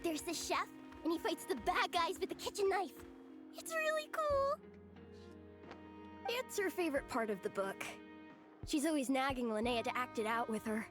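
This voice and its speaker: A very high child's voice over clear music, for a little waitress in a video game. She sounds eager and chatty, her pitch bouncing around with imagination. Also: expressive, normal speed, long pauses. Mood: excited. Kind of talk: English video game voice acting.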